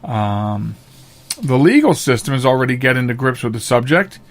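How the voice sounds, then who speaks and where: A middle-aged man hosting a podcast, giving casual opinionated commentary in a halting, emphatic way. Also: medium pitch, sing-song. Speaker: podcast host.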